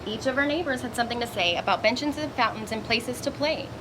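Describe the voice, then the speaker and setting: Woman, children's read-aloud, fairly high pitch, expressive storytelling, asides to kids, noisy amateur recording. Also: fairly quick. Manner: expressive, acts out exclamations and dialogue.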